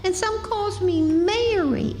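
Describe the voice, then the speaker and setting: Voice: extremely high pitch, slow pace, a little mellow. An actor playing an evasive young runaway boy with a folksy American Southern accent in a live radio drama. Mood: nervous, evasive. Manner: folksy, rustic dialect. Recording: echoey hall, music behind.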